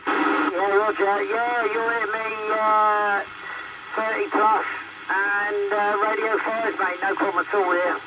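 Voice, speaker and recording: A man's voice: high voice, level tone, slow pace. A man in casual banter as a CB radio operator, heard through a noisy radio link. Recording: distant CB radio, heavy static.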